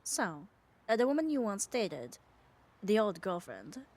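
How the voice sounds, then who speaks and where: A woman's voice: medium pitch, sing-song, unhurried, darkish tone. A woman playing a muscular, possessive yet caring yandere in an ASMR roleplay, speaking with coaxing, tender firmness. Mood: calm, coaxing. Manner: coaxing, firm, tender reassurance. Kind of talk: ASMR roleplay audio drama.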